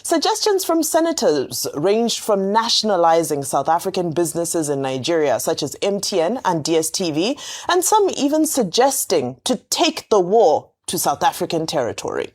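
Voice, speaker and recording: Woman presenter, low-pitched and lively. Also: moderate pace, hardly any pauses. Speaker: TV show presenter. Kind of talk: broadcast presenting, reading reports and viewer reactions. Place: TV studio.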